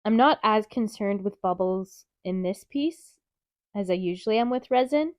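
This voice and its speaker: A woman with a stuffy, nasal voice from a cold, calmly explaining steps in a craft tutorial. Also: mid-range voice, no room sound, studio quality. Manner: calm, methodical, chatty explaining. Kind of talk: step-by-step craft tutorial narration.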